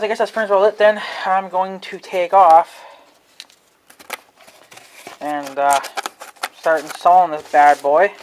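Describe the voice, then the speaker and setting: A man giving casual, offhand DIY vlog commentary, thinking aloud in a folksy way as he works. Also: a little high. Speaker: amateur DIY video maker.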